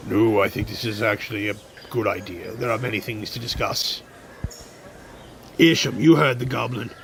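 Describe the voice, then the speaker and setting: A man's voice: medium pitch, a bit bright, a little hiss or hum, natural voice. Man acting a commanding fantasy grain hauler. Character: commanding male grain hauler and messenger, brisk, businesslike. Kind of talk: fantasy radio drama acting.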